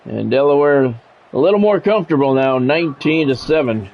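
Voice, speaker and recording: Higher-pitched American man calling football play-by-play. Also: expressive, brisk, gravelly, slight background noise. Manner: short clipped announcer phrases. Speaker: sports play-by-play commentator. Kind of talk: live play-by-play commentary of a football video game. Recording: dull lo-fi sound, music behind.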